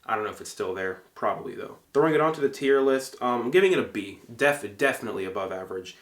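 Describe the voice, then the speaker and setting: A man's voice: on the low side, expressive, fast. Young male reviewer from Egypt, loose and conversational, roomy echo on the voice. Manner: conversational, frequent fillers and self-corrections. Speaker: movie reviewer. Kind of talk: casual review monologue.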